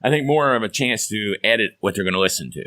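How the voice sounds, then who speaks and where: A hoarse man hosts a folksy, upbeat podcast chat. His voice sits on the low side yet comes across bright and forceful. The sound is dry and close, with no room around it. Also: lively intonation, quick pace, no echo. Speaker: podcast host. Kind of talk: casual chat.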